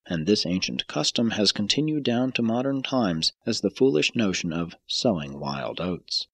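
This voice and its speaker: A man narrating an audiobook from a script in a measured, formal way, with deliberate emphasis. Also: lowish voice, expressive, creaky, fairly bright tone, husky, no echo.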